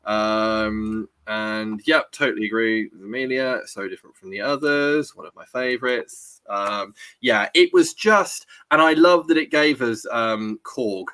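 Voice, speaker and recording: A man's voice: medium pitch, a little slow, few pauses, a bit bright, clean tone, no echo. A man hosting a casual chat about films, chatty and rambling, reading live comments as he goes. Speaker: podcast host.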